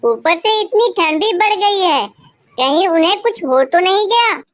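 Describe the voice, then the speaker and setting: A woman voicing a young orphaned female bird in a cartoon, with a high childlike voice and plaintive, pleading delivery. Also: lively intonation, a little slow. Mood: worried. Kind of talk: Hindi cartoon dubbing.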